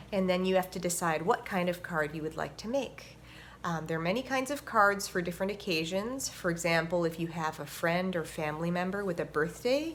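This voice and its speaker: A woman hosting a story time for children, reading and explaining things simply with expressive, deliberate phrasing. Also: on the low side, slight background noise. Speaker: host and story reader, orchestra violinist.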